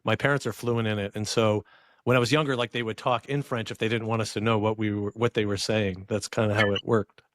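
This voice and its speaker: A man's voice: on the low side, little pitch movement, brisk, a bit bright, slight background noise. A man from Maine hosting an interview, friendly and rambling with many ums and restarts. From Maine, USA. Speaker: podcast host. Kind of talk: casual interview.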